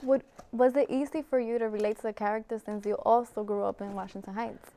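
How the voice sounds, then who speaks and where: A woman's voice: fairly high pitch, fairly even intonation, fairly quick, mellow. A woman interviewing an actor, asking short, direct questions.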